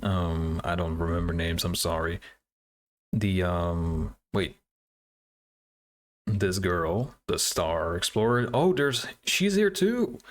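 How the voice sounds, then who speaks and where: A man's voice: sits low, expressive, slow pace. A man hosting a casual reaction video, thinking aloud in hesitant fragments. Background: game trailer music.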